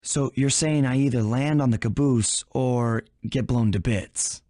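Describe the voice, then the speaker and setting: A man's voice: medium pitch, measured pace, mellow, dry recording, studio quality, close to an everyday voice. A man voicing a suave young animal thief in a cartoon video game, wry, casual and unflappable. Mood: cool, confident. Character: suave, laid-back, wisecracking young male animal thief.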